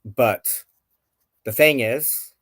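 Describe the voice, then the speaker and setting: A man hosting a spiritual tarot reading, speaking reflectively in deliberate phrases with frequent pauses. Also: medium pitch, fairly bright tone, no room sound, clean studio sound. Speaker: host of a spiritual tarot show. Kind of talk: tarot card reading, reflective spoken commentary.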